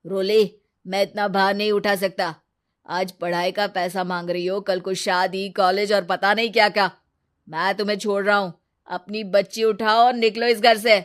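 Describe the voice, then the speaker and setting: Selfish cartoon husband, voiced by a woman: irritable, loud, dismissive, harsh and scolding. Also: medium pitch, level tone, normal speed, lightly acted. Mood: angry, dismissive. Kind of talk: Hindi cartoon moral story dialogue.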